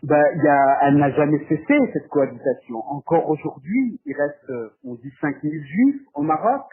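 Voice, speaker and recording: Husky, halting Frenchman on a phone-like recording. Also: fairly high pitch, expressive. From France. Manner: halting, hesitant, frequently repeats words while searching for phrasing. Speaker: documentary filmmaker being interviewed. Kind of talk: spontaneous interview answers. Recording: muffled, phone-like narrow band.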